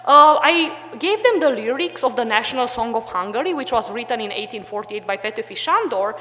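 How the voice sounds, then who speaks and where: A woman's voice: fairly high pitch, expressive, a little fast, sharp tone, big-room sound, slight background noise. A young woman from Transylvania giving a chatty conference talk about teaching history, full of fillers. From Romania, Transylvania. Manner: chatty, many fillers like "like" and "you know". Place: hotel conference room.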